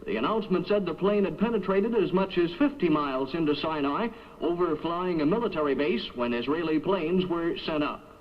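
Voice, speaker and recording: A man's voice: a little high, fairly quick, few pauses. A man reading a scripted 1970s radio newscast as the anchor. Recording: dull vintage AM radio aircheck.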